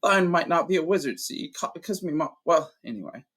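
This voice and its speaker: A man voicing a big, tearful, warm-hearted half-giant gamekeeper in a hoarse, croaky voice, with broken, emotional country speech. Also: fairly high pitch, expressive, a little fast, pitched above the performer's own voice. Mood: tearful, earnest. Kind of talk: audiobook character voice.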